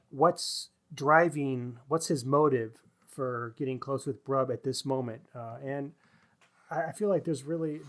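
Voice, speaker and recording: A man co-hosting a book podcast, discussing writing analytically in measured, considered phrases. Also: a little high. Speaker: podcast co-host.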